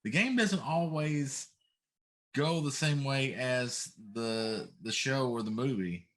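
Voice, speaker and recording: A man's voice: medium pitch, slow, a bit bright. A middle-aged man hosting a casual talk show, chatting about games in an emphatic, complaining way.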